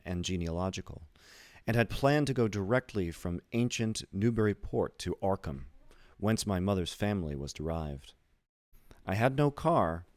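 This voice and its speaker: A man with a deep, low voice narrates a literary horror story. His reading is measured and deliberate, and the sound is dry, with very little room around it. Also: dry recording. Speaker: audiobook reader.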